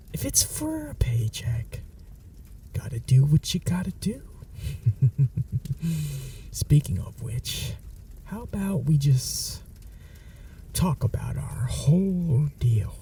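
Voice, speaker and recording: A man playing a gravelly, whispering vampire. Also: a little high, far from the mic, slight background noise, full character voice. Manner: soft half-whispered, mocking and calm. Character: smug teasing male vampire, dominant and possessive. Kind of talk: ASMR audio roleplay.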